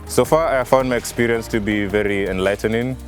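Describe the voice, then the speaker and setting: A man's voice: lowish voice, brisk, faint music behind. A young man from Kenya, a math student speaking composed and articulate. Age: young adult. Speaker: mathematics student.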